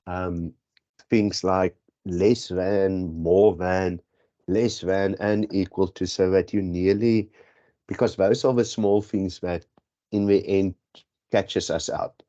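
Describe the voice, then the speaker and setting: Slow deep-voiced South African tutor. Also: male voice, steady tone, dark tone. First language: Afrikaans. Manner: rambling, self-correcting explanation. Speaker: statistics tutor. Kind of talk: exam prep lesson. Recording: dull lo-fi audio.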